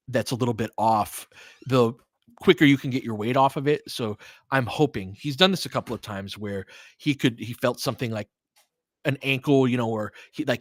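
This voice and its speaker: A man hosts live basketball commentary in a relaxed, chatty style. He follows the play in short, clipped phrases and openly sides with his team. The sound is dry, with very little room in it. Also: mid-range voice, no room sound. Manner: conversational, reacting as a partisan fan in short clipped phrases. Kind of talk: casual live play-by-play commentary mixed with fan chat.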